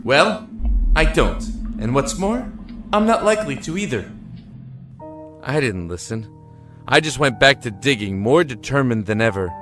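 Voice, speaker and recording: A man plays a desperate prisoner trapped in darkness, an earnest and emotive dramatic storyteller with a mid-pitched voice. He sounds brooding and haunted, as in an audio drama, over faint music. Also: sharp tone. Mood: defiant. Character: desperate imprisoned man recounting his ordeal in darkness. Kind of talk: dramatic first-person audio drama narration.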